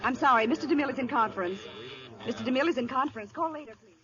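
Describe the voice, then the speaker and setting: Woman as a very fast, high-voiced switchboard operator. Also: lightly acted. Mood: brisk, routine. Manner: clipped phone patter. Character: brisk female switchboard operator at film studio. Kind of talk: 1930s radio comedy acting. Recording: muffled old radio, loud crowd sound.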